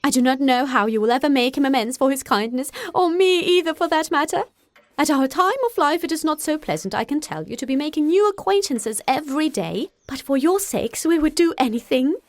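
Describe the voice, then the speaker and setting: Audiobook mother role, woman performing: middle-aged, high and excitable, theatrically fretful and gushing. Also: fairly quick, dry recording. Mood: gushing delight. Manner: fretful, effusive, dramatic. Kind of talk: audiobook character acting.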